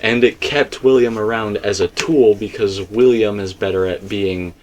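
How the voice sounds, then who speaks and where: A man's voice: on the low side, fairly even intonation, normal speed, darkish tone, a little hiss or hum. A man talking casually and speculatively as a host, emphatic and rambling, often repeating himself. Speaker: video game theorist and host.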